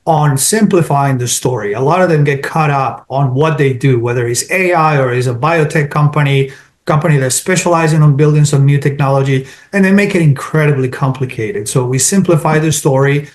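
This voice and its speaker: A man's voice: on the high side, fairly quick. A man from Colombia with a Colombian Spanish accent, answering interview questions in an orderly way, laying out his points one by one. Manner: structured, lists points in order. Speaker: digital marketing consultant.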